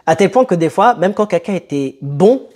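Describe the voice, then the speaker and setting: A young man who grew up in France telling a personal story to camera in a conversational, emphatic way with dramatic pauses. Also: high-pitched, animated, a little mellow. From born in Italy, moved to France as a child. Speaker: motivational video host.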